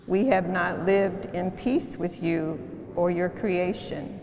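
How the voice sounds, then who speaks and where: An older woman leading a church service, giving announcements and praying in a slow, measured way. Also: lowish voice, darkish tone. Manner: slow and measured, solemn in prayer. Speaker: worship leader. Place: large church sanctuary. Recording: long church reverb.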